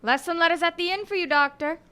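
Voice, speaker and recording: Woman playing a high, bright, folksy village postal carrier. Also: fast talker. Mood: chatty. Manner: folksy, repeats phrases for emphasis. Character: chatty village postal carrier and bell-ringer, plain-spoken. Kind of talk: radio drama acting.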